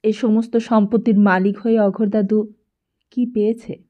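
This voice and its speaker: A woman's voice: medium pitch, flat delivery, moderate pace. A woman audiobook narrator reading literary fiction expressively, on slightly dull, lo-fi audio.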